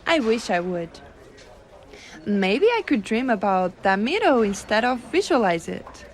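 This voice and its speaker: A woman playing a gentle, teasing giantess secretary in an ASMR roleplay, coaxing and cooing softly. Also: a little high, sing-song. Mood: gentle, attentive. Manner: soft coaxing, cooing, unhurried.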